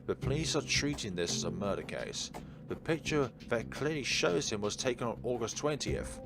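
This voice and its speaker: A man's voice: mid-range voice, animated, moderate pace, gravelly, noisy background. A man playing a visual novel, reading its narration and dialogue aloud and musing casually as he goes. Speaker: let's player. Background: game background music.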